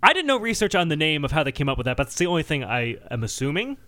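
Young american guy on a comedy podcast, high voice, swings all over, sarcastic. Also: big pitch swings, rapid-fire, bright, forceful tone, no echo. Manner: sarcastic, joking. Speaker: podcast co-host. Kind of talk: casual comedic banter.

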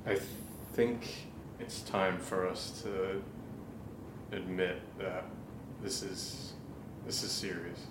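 A man's voice: sits low, level tone, slow, long pauses. A man playing a concerned, insistent friend in a naturalistic horror audio drama, conversational and earnest. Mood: serious, concerned. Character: Steve, Nate's concerned, insistent drinking buddy. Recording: echoey room with noisy ambience.

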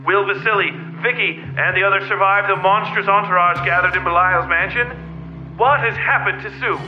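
High-voiced male announcer, lo-fi sound. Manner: theatrical, suspenseful announcing. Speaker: announcer of next-episode preview. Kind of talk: scripted dramatic serial teaser. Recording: dull lo-fi radio-like sound, music behind.

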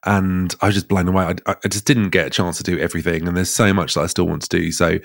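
Brief: man hosting a podcast, low voice, gushing and chatty. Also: level tone, fast, vocal fry. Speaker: podcast host. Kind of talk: podcast intro and interview.

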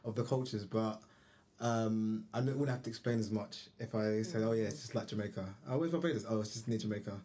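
A man's voice: on the low side, brisk, mellow. A man talking as a guest on a casual podcast, thoughtful and often restarting his sentences. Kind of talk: casual conversation.

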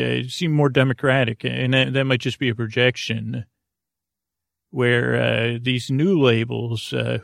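A male podcast host delivers a meandering bedtime monologue meant to lull listeners to sleep. His medium-pitched voice is full of gravelly fry, and he rambles haltingly with a self-deprecating bent. The sound is very dry, with little room on it. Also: no echo. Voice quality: gravelly, creaky.

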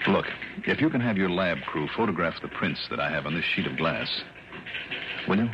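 Sharp radio sleuth: deep, probing, confident delivery, old-time drama, muffled sound with clear hiss. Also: male voice, animated, a little fast. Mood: probing, businesslike. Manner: probing, self-assured, clipped. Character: sharp, dogged male insurance investigator narrating his case. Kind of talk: 1960s radio drama acting and narration.

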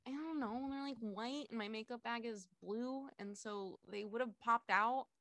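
Brief: young woman co-host, bright animated storyteller, dry close sound, laughs a lot. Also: fairly high pitch, moderate pace, no room sound. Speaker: podcast co-host. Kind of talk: casual chat.

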